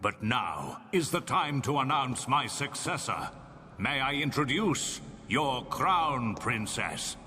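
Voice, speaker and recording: A stately emperor, older and solemn, proclaims slowly with sweeping intonation in a long hall reverb. The delivery is grand and ceremonious, as in a fantasy game. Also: male voice, mid-range voice, very animated, sharp tone, a little hiss or hum, exaggerated voice. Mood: proclaiming. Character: stately, solemn male emperor addressing his people. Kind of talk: fantasy video game acting.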